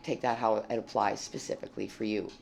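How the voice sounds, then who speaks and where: Tarot reader talking straight, gravelly fry in the voice, dry froggy throat, cusses a bit. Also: medium pitch, steady tone, a little fast, darkish tone, slight background noise. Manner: blunt and plain-spoken, swears freely. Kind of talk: casual tarot reading to camera.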